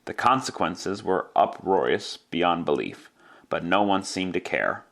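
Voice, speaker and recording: A man with a low voice narrates a literary tale in classic radio style. He delivers it as scripted dramatic storytelling. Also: lively intonation, a little slow, gravelly, fairly bright tone.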